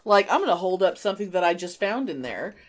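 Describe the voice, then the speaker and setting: A woman's voice: on the low side, very animated, fast talker. A middle-aged woman co-hosting a podcast in casual, effusive, sweary chat with a friend. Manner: effusive, sweary, gasps and exclaims. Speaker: podcast co-host.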